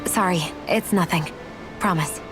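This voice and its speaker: A woman acting a guarded young woman with a softening, sincere side in a video game cutscene, speaking in a measured, reflective, earnest way. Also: lowish voice, over music, natural voice. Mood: quietly grateful.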